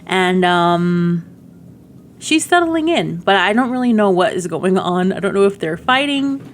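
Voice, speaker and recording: A woman's voice: medium pitch, lively intonation, a little slow, a little hiss or hum. A woman giving casual game demo commentary, chatty and informal, often thinking aloud as she goes. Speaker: gaming video creator.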